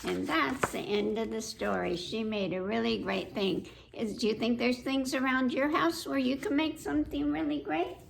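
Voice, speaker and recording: A woman leads a story time for children in a slightly high voice with some creak in it. She takes her time, reading aloud and chatting to her young listeners with questions. There is faint noise on the recording. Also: steady tone, normal speed, few pauses. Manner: addresses children directly with questions.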